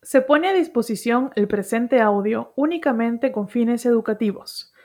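A woman reading a formal disclaimer in an even, formal way. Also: on the high side, measured pace. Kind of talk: read legal disclaimer.

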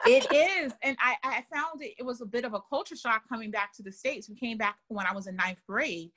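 A woman's voice: a little high, a little fast, sharp tone. A woman who grew up in Germany, speaking as an author and guest in a podcast interview, earnest and often repeating words as she thinks.

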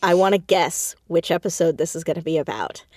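A woman chatting casually as an interview guest, with an animated, emphatic, jokey and sometimes sweary way of talking. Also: on the low side, brisk, no echo, clean studio sound. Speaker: podcast guest, audio drama creator and writer.

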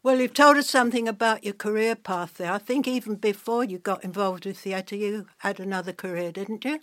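An older woman hosting a podcast and interviewing a guest in a measured way. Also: mid-range voice, fairly bright tone. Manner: measured, reads an introduction. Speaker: podcast host.

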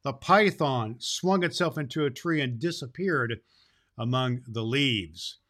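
A man's voice: medium pitch, lively intonation, unhurried, a bit bright. A man reading a storybook aloud to children in the role of Santa Claus, with a dramatic, emphatic delivery.

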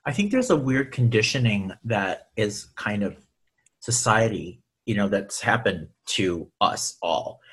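A man's voice: on the low side, animated, unhurried, fairly bright tone, husky. A middle-aged man, a singer and guest, talking in an earnest, reflective way and repeating himself as he thinks. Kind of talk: casual conversation.

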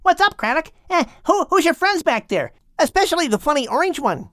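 A man's voice: very high voice, sing-song, a little fast, fairly bright tone, dry recording, studio quality, pitched above the performer's own voice, heavily acted. A man voicing a bouncy, cheeky young dolphin in an audiobook, with a breezy, chatty manner. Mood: cheeky, curious.

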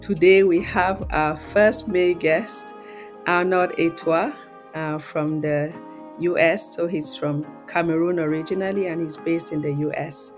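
A woman's voice: fairly low pitch, fairly even intonation, a little slow, faint music behind. A woman hosting a podcast interview, asking questions in an encouraging way. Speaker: podcast host.

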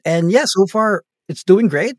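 A man's voice: sits high, a little slow, no echo, studio quality. A man from the Philippines, a tabletop game master, talking casually as an interview guest with lots of fillers and tag questions. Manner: rambling, relaxed, many fillers and tag questions.

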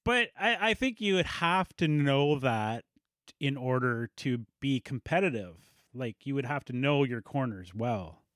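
A man's voice: medium pitch, animated, unhurried, a bit bright, no room sound, clean studio sound. A man hosting a podcast, chatting informally with a guest and chiming in with agreement. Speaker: podcast host. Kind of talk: casual interview chat.

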